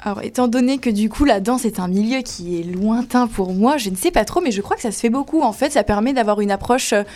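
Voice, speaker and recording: A woman's voice: on the high side, quick pace. A woman who works as a cabaret dancer, chatty and explaining her daily routine in detail. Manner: lively, talkative explainer. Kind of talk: explaining her work in an interview.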